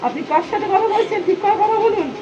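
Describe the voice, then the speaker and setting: Very high-voiced, scolding female customer, demanding and argumentative played by a woman in a prank call; muffled sound. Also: quick pace, very noisy. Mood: angry, demanding. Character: indignant, demanding female customer complaining on phone. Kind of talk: improvised prank phone call.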